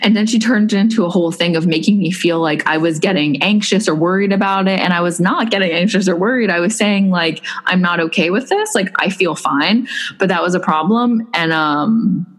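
A woman's voice: lowish voice, fairly quick. A young woman in casual chat, telling a personal story in a rambling way with many fillers and restarts. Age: young adult. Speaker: blogger recounting personal experience.

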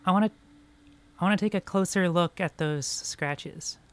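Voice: mid-range voice, moderate pace, clear, resonant tone. A man playing in a tabletop game, chatting casually with the group. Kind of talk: casual chat.

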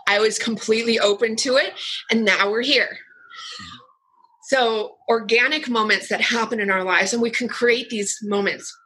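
A woman's voice: a little high, steady tone, normal speed, bright, forceful tone, breathy edge, poor audio. A woman in her forties, an author and workshop leader, answering interview questions in an earnest, effusive way with many restarts, over a video call.

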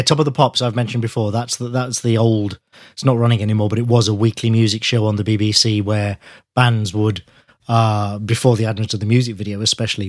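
A man's voice: lowish voice, no room sound, studio quality. A British man co-hosting a podcast, talking thoughtfully with frequent hedges like "you know". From United Kingdom. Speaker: podcast co-host. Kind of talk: casual chat.

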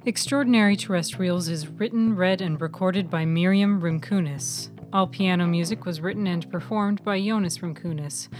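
A woman's voice: fairly low pitch, normal speed, hardly any pauses. A woman reading scripted narration for a fiction podcast, with music behind her.

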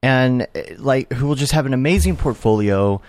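A man's voice: mid-range voice, expressive, normal speed, a little mellow, dry recording. A man hosting a casual chat, rambling and restarting his questions in a friendly way. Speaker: host.

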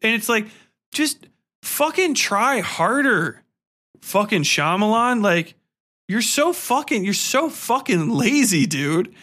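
Male podcast host, fairly high voice with a sharp, forceful edge; casual, blunt, swearing, exasperated review delivery. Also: big pitch swings, takes it slowly. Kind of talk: casual ranting film review.

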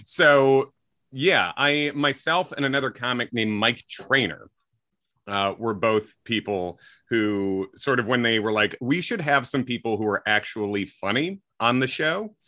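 A man's voice: mid-range voice, a little slow, bright, forceful tone, dry recording, studio quality. A comedian from Chicago with a slight Chicago accent, chatting loosely in an interview, rambling and correcting himself as he goes. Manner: rambling, self-correcting, frequent likes and ums. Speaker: comedian guest. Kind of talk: casual interview chat.

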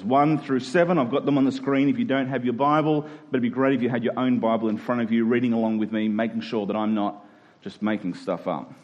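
An Australian man preaching a sermon as a church pastor, earnest and emphatic with deliberate phrasing. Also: mid-range voice, a little mellow, muffled recording.

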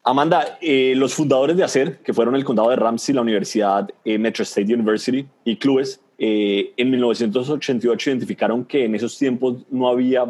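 A man's voice: mid-range voice, quick pace, few pauses, a little mellow. A man around thirty from Colombia, a native Spanish speaker with a Colombian accent, giving prepared answers as a podcast guest. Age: 30s. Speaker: guest, nonprofit research associate. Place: remote video call over Zoom.

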